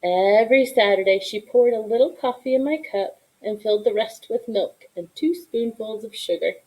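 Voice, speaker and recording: A woman reading a children's story aloud in an expressive style, with deliberate, dramatic emphasis. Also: a little high, rough recording. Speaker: storybook reader.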